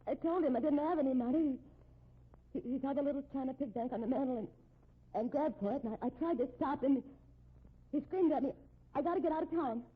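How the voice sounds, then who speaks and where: An actress playing a shaken, anxious married woman who speaks haltingly in a 1950s radio drama. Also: high voice, lightly acted. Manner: halting, stammering delivery. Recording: tinny 1950s radio recording.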